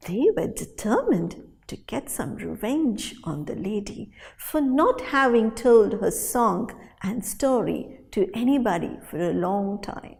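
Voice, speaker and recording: A woman storyteller telling a folktale in a dramatic, animated way, with deliberate pauses and repetition. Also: medium pitch, vocal fry. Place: hall at a storytelling festival. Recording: reverberant hall sound.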